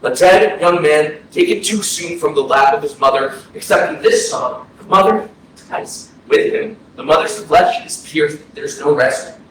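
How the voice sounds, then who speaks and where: Heavy echo surrounds a high, earnest voice: a man acting a grieving brother on stage. He declaims poetic lines weighed with guilt, far from the mic over faint noise. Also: animated, measured pace, fairly bright tone. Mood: mournful. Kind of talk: staged play reading, dramatic monologue. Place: small arts-centre stage, distant mic. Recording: very echoey stage, distant mic, noisy.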